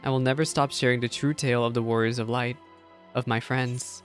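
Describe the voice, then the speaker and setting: A man's voice: medium pitch, flat delivery, brisk, faint music behind, natural voice. A man voicing a grieving, guilt-ridden game storykeeper in an earnest, solemn way. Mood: solemn, grateful.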